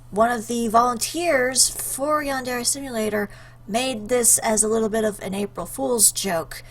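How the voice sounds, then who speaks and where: High-voiced, playful woman gaming host. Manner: expressive, playful, joking. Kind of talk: casual let's play commentary, reading game lines aloud.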